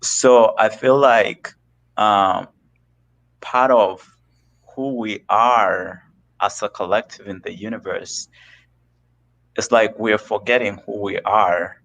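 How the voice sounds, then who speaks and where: A man from Kenya, a guest on a live video call, talking slowly and earnestly about spiritual ideas. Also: lowish voice, steady tone, vocal fry, fairly bright tone, poor audio. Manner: slow, searching and earnest. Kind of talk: reflective spiritual conversation.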